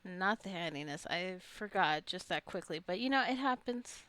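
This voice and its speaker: Female gamer, slightly low voice, chatty and rambling, musing aloud through a casual playthrough. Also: expressive, normal speed, a bit bright. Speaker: gamer narrating own gameplay. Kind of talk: casual let's play commentary.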